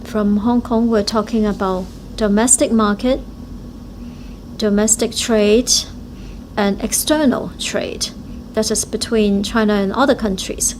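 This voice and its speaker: A woman interpreting speeches live at a forum, speaking in short, halting phrases. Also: mid-range voice, fairly even intonation, a little mellow, a little hiss or hum.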